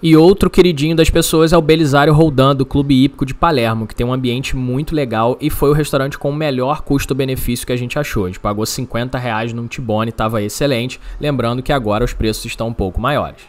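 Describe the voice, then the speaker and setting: A man's voice: mid-range voice, brisk, hardly any pauses. A man narrating a travel vlog, giving casual, upbeat restaurant recommendations. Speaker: travel vlogger.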